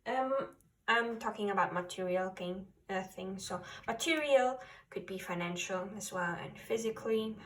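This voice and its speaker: A woman who is a non-native English speaker, giving a spiritual tarot card reading in a halting way, searching for words. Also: medium pitch, a bit bright, far from the mic, rough recording. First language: not English.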